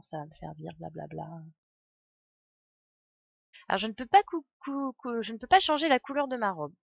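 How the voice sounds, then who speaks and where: A woman's voice: medium pitch, creaky, dry recording. Woman streamer with a cold, dull sound. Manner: thinks aloud, chatty asides to viewers. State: has a cold. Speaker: game streamer. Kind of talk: casual live game commentary. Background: faint game music.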